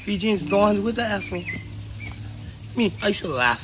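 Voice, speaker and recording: High-voiced Hawaiian Pidgin-speaking man, candid and playful. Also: lively intonation, normal speed, noisy background. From Kahuku, Hawaii. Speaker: interviewee. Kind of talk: casual personal storytelling.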